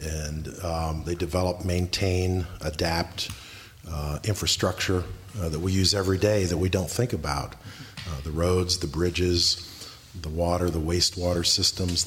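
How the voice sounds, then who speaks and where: A man in his thirties, a guest from an engineering firm, explaining in a measured way with lists of examples. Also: low voice, a little hiss or hum. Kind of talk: interview answers.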